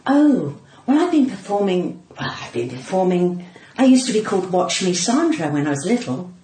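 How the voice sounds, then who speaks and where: A woman's voice: mid-range voice, very animated, normal speed, mellow. Woman, 60s, cut-glass English, playful and theatrical interviewee; roomy echo, some background noise. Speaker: podcast guest, comedian and actor. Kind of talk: casual interview chat.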